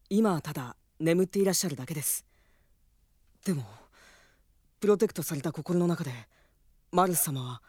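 A man's voice: on the high side, dry recording, close to an everyday voice. Studious young mage, played by a man, dry recording. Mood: reassuring, earnest. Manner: careful, respectful explaining. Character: polite, studious young male mage, gravely earnest. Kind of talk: radio drama acting.

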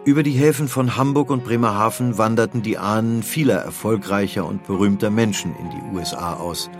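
Low-pitched man narrating a documentary. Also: fairly quick, soft background music.

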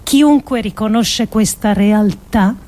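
An actress performing a theatrical monologue as a grave, idealistic political thinker, with deliberate, emphatic phrasing and dramatic pauses. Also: female voice, mid-range voice, mellow, natural voice. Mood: solemn, hopeful. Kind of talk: theatrical scripted monologue adapted from philosophical essays.

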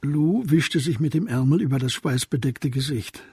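A man's voice: mid-range voice, normal speed, darkish tone, breathy edge, dry recording, studio quality. A man reading scripted narration for a German radio crime drama. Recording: music behind the voice.

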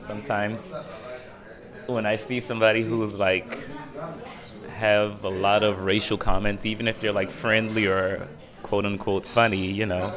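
A young man from Israel chatting casually as an interview guest, speaking in a hesitant, reflective, halting way. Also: lowish voice. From Dimona, Israel. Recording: music playing behind the voice.

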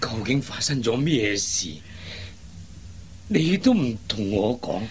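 Middle aged cantonese dad in radio play, slow n sighing, very up and down, exasperated. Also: male voice, medium pitch, big pitch swings, a little hiss or hum. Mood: concerned. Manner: sighing, drawn-out, emotional delivery. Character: worried, exasperated father of grown daughters. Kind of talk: Cantonese radio drama acting.

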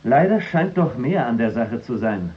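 A man's voice: mid-range voice, sing-song, normal speed, lo-fi sound, lightly acted. A man acting a serious, officious cabinet minister in a 1960s German radio play, formal and matter-of-fact. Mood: concerned, formal.

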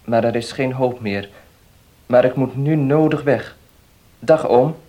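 Guy acting downcast dutiful nephew, formal measured talk, middle pitch, radio drama. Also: mellow, close to an everyday voice. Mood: defeated, solemn.

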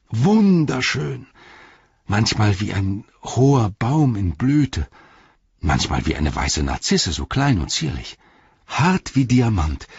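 A man's voice: fairly low pitch, vocal fry, breathy edge, pitched above the performer's own voice, exaggerated voice. A man voicing an earnest, simple-hearted halfling servant who rambles in a folksy, deferential way in a storybook reading. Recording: slightly dull, roomy sound.

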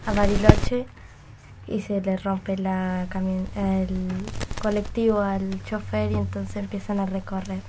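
A woman's voice: mid-range voice, flat delivery, a little slow, very noisy. A hesitant female speaker giving an informal introduction.

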